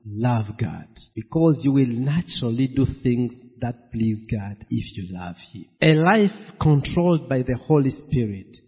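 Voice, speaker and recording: Slow-preaching man in an echoey hall. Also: medium pitch, slightly rough, dull audio. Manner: slow emphatic preaching, repeats phrases. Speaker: pastor. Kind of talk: sermon.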